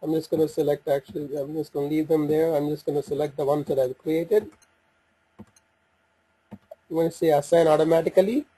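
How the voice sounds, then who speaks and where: A man with a slightly husky voice giving an unscripted software walkthrough, hesitant and thinking aloud as he goes. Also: on the high side, fairly even intonation, a little fast, pauses often, rough recording. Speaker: software demonstrator. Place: voice-over on a screen recording.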